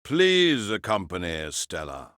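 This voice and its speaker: A woman acts out a bitter older nobleman in a fantasy audiobook. He is stern and aristocratic, speaking slowly in a low voice with pointed, lively inflection. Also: dry recording, studio quality, heavily acted. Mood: curt. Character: stern, bitter older male lord magus.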